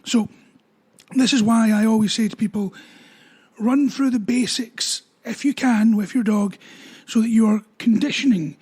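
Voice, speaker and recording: Husky-voiced man. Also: high voice. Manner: relaxed, explains with everyday examples. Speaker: dog trainer. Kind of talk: explanatory talk to camera.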